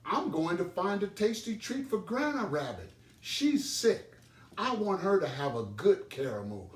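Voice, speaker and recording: Man voicing an eager little boy rabbit, pitched high. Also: very animated, a little slow. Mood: earnest. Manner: childlike, earnest. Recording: roomy, noisy, distant microphone.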